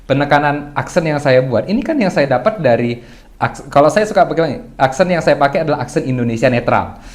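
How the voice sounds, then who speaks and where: A young adult man, a podcast guest, talks through ideas in a loose, reflective way, asking himself rhetorical questions and often clearing his throat. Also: on the high side, lively intonation, a little fast. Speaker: guest speaker. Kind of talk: casual podcast discussion.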